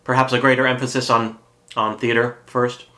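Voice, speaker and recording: A man hosting a radio interview, asking short questions and giving quiet backchannels. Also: mid-range voice, flat delivery, moderate pace.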